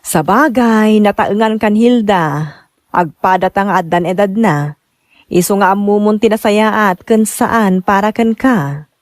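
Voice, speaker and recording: A woman's voice: mid-range voice, unhurried, no echo, clean studio sound, natural voice. A woman playing a teasing middle-aged working woman in an audio drama, with an Ilocano Filipino accent. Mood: conversational. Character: teasing older working woman, Layla, laughing about ageing. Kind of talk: radio-style drama acting.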